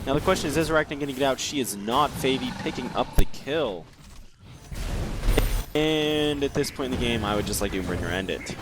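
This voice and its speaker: A man's voice: medium pitch, expressive, brisk, noisy background. A man giving live play-by-play commentary on a video game match, joking and teasing his co-caster. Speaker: esports caster.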